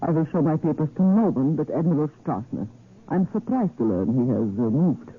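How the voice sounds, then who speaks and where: An actress playing a determined, crisp young woman secret agent in a 1940s radio drama. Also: sits low, expressive, fairly quick. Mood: guarded. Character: determined, daring woman secret agent in her late twenties. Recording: tinny vintage radio, faint music.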